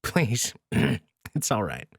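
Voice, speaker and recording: Man playing a husky, awkward, dorky counselor. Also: lowish voice, sing-song, very slow, no room sound, clean studio sound, full character voice. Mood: amused. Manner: hesitant, stammering, self-deprecating. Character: gentle, awkward, dorky male counselor, good-humored. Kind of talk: naturalistic audio drama acting.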